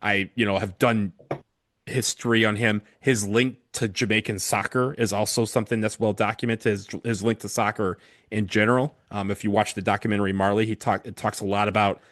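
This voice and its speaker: A man's voice: fairly low pitch, little pitch movement, fairly quick, fairly bright tone, no echo, clean studio sound. A man co-hosting a podcast, talking casually about football kits in a hesitant way with many fillers and restarts. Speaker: podcast co-host. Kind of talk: casual chat.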